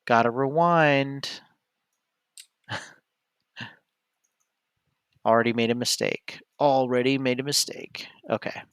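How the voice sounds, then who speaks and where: A man's voice: mid-range voice, steady tone, takes it slowly, pauses often, fairly bright tone, clean tone, dry recording, clean studio sound. A man streaming solo board game play, talking casually through each move and often correcting himself as he decides. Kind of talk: casual play-by-play commentary, thinking aloud.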